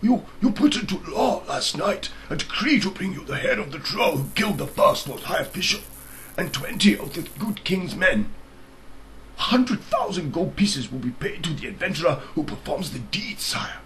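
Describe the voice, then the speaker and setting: A man plays a dutiful storybook court official. The voice is bright and crisp-edged, with formal, deferential phrasing fit for addressing a king. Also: sits high, sing-song, moderate pace, slight background noise, pitched above the performer's own voice, rough recording. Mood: patient, formal. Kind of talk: storybook character reading.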